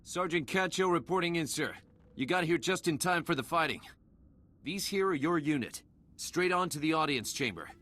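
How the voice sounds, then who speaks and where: Blunt, wary army sergeant. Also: male voice, a little high, lively intonation, moderate pace, a bit bright. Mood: brisk, urgent. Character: wary, suspicious male Republic army sergeant. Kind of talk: video game voice acting.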